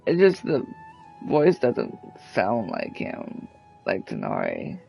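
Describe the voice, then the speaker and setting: Male commentator, casual, hesitant, slow-paced, gravelly fry, unscripted opinion. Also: fairly low pitch, wildly swooping pitch, a little mellow, soft background music, rough recording. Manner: halting, hesitant. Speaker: video uploader giving commentary.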